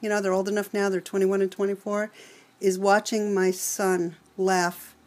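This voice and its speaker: A woman's voice: mid-range voice, level tone, normal speed, a little mellow. New York woman counselor, earnest. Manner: earnest, explanatory, lecturing. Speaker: college alcohol counselor. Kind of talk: expert interview answers.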